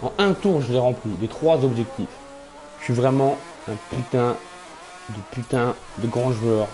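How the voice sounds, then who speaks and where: A man's voice: mid-range voice, measured pace, a little mellow. A man with a slight Belgian French accent, a streamer giving rambling, casual live commentary on his racing game and swearing often. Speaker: gaming streamer. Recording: game engine noise in background.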